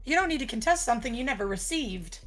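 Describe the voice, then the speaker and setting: Woman game player, fairly high pitch, relaxed in-character banter, background music. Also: fast. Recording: music behind the voice.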